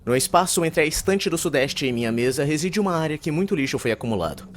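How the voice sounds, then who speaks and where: A man's voice: a little high, very animated, fast, a little hiss or hum. A man with a Brazilian accent playing a verbose, self-important, reclusive young university student narrating an anime monologue. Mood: matter-of-fact, wry. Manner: pompous, wordy, formal.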